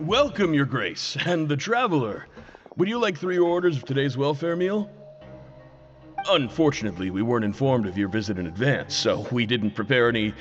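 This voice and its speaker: A courteous, deferential cook from a video game, played by a man, with a mid-pitched voice. He talks formally in an echoey space, slightly muffled, with music behind. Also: sing-song, normal speed, close to an everyday voice. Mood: courteous, apologetic. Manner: polite, formal. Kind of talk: video game character dialogue.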